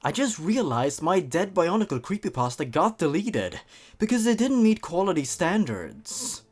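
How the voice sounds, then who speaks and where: Male comedy commentator, slightly high voice, very animated; theatrical story reading broken up by sarcastic remarks. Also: moderate pace. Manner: theatrical emphasis with wry, sarcastic asides. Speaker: comedy video host reading and commenting on horror fan fiction. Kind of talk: comedic commentary mixed with dramatic story read-aloud.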